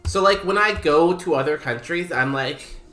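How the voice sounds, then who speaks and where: A man's voice: a little high, normal speed, pushed and bright. Young canadian guy rambling on stream, lots of ums, echoey room w faint music. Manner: rambling, self-deprecating, lots of ums and likes. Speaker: streamer playing a video game. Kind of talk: casual chat with a live stream audience. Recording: roomy echo with faint music behind.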